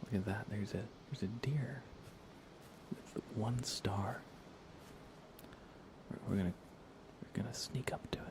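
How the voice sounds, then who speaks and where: A man speaking in a soft, breathy whisper, giving unhurried, gently playful ASMR commentary while he plays a video game for viewers. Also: lowish voice. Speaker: livestreamer playing a video game.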